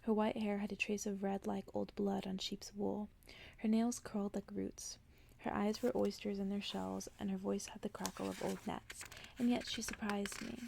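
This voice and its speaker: Female ASMR storyteller: gentle breathy whisper, dark soft tone, strong creak, slight background noise. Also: mid-range voice, level tone, normal speed. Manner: hushed, gentle storytelling with casual asides. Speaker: ASMR host reading a storybook aloud. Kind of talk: ASMR bedtime story reading.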